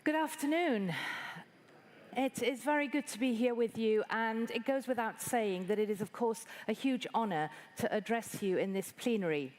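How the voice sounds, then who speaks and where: A woman from Iran, a bishop, delivering a formal prepared keynote address in a measured, articulate way. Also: fairly high pitch.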